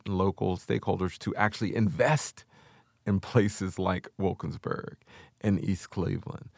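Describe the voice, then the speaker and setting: A man, a research fellow, explaining his plans in a measured way during a podcast interview. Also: lowish voice, vocal fry, no room sound, studio quality.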